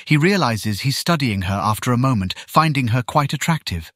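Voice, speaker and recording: A male narrator with an average-pitched voice reads scripted scene descriptions for an audio drama. The recording is dry, with very little room sound. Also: expressive, moderate pace, a bit bright, no room sound.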